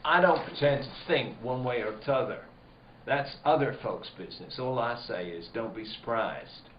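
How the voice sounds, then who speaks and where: An actor playing a blunt, needling rural man in a spooky radio drama, folksy and halting in his talk. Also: mid-range voice, lightly acted. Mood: menacing. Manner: folksy dialect, halting, insinuating. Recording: roomy, muffled, noisy lo-fi recording.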